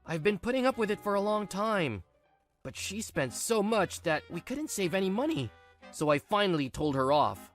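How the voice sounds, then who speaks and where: A woman's voice: mid-range voice, very animated, moderate pace, faint music behind, pitched above the performer's own voice, natural voice. A woman voicing a smug, irritable young husband in a manga drama, dismissive, whiny and self-righteous. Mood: fake troubled.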